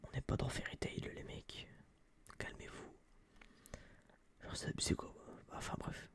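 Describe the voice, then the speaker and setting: A young man whispering close to a binaural microphone in a casual, rambling, blunt ASMR review style, with a breathy, unvoiced voice. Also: unhurried. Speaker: ASMR channel host reviewing a film.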